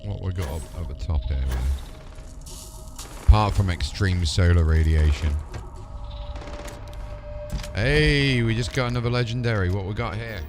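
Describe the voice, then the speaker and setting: A British man with a deep voice commentates casually on a video game as he plays. He talks informally, as if thinking aloud to viewers. Loud music plays behind his voice throughout. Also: normal speed, long pauses. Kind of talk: casual live gameplay commentary.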